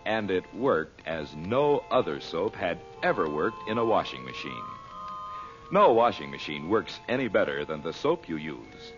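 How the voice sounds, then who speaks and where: A low-voiced American man works as a vintage radio announcer, formal and emphatic. His pitch moves dramatically through each scripted phrase, and the recording is dull and band-limited. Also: big pitch swings, unhurried. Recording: muffled old radio broadcast recording. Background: music.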